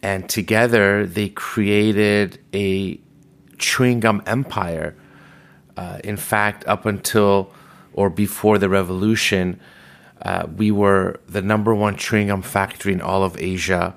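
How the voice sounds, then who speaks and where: A man in his fifties, originally from Iran, talks through his memories in a steady, thoughtful interview manner. His voice sits fairly low and unhurried, with occasional ums as he recalls details. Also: creaky. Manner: measured, reflective storytelling with fillers. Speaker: podcast host being interviewed. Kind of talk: conversational interview.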